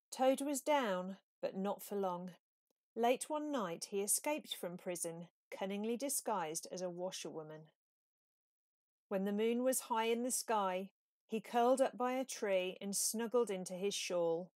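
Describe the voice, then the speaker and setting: A woman reading a children's storybook aloud in a measured, expressive way. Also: mid-range voice, dry recording, studio quality. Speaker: storybook reader. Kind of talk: scripted children's story narration.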